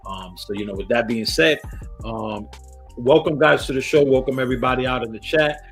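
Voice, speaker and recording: A man hosting a casual livestream, raspy and coughing, hyping up chat with sing-song shout-outs. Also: medium pitch, fairly quick. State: coughing fits. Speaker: host. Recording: loud hip hop music behind. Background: hip hop music.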